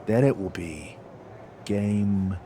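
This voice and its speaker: Treacherous faction leader for a wrestling game: theatrical, menacing, slow delivery, faint background noise. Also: male voice, lowish voice, mellow. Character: treacherous, power-hungry young male wrestling villain leading a rebel faction. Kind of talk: wrestling game cutscene promo.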